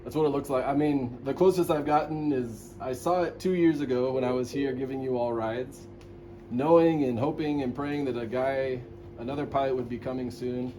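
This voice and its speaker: A man with a mid-pitched voice talks casually, like a pilot laying out an idea to colleagues. Clear noise runs behind him in the location recording. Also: normal speed, low-quality recording. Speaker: helicopter pilot and instructor. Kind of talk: casual discussion. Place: airport control tower. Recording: loud background noise on location.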